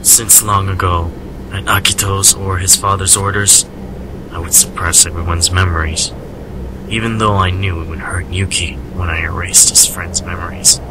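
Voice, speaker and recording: A man playing a reserved, melancholy young doctor in a radio drama, speaking in a measured, formal way. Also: low-pitched, flat delivery, noisy background, lightly acted. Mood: brooding, introspective. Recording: music under the voice.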